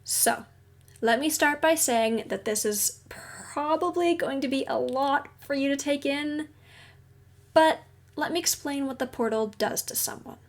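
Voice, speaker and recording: A woman playing a caring, reassuring counselor at a secret base in an audio roleplay, speaking gently and explaining things soothingly. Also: high-pitched, a little slow. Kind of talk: audio roleplay addressed to the listener.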